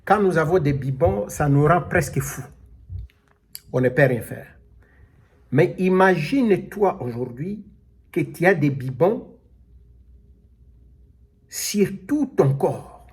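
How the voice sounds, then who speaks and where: A man preaching a sermon in a slow, drawn-out, emphatic way, now and then calling out alleluia. His voice sits a little high for a man and has a husky edge. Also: lively intonation, darkish tone. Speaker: pastor.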